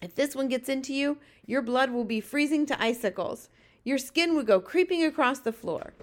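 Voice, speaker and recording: Woman voicing an excitable, high-pitched storybook giant. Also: moderate pace, lightly acted. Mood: exasperated, dramatic. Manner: exclamatory, ungrammatical folksy speech. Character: excitable big-eared male giant, dream catcher, dramatic. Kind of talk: dramatic storybook reading.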